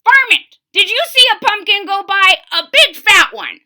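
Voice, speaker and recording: An ancient, hot-tempered storybook old man, put on by a woman reader, bawls and exclaims with impatience. The pitch leaps high and swings widely, with a bright, forceful edge. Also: sing-song, normal speed, exaggerated voice. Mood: outraged bawling. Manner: bawling, exclaiming, croaking. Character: fiery, excitable eight-hundred-year-old man, outraged and impatient.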